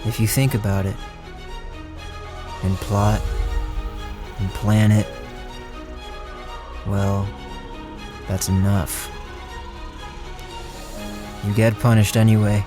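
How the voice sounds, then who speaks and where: A man acting a guilt-ridden, fatalistic plotter who tells his story in a radio drama, somber and deliberate. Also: lowish voice, level tone, music in the background. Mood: somber, resigned. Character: Clyde, guilt-ridden, fatalistic man narrating a murder plot. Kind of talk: dramatic first-person radio drama narration.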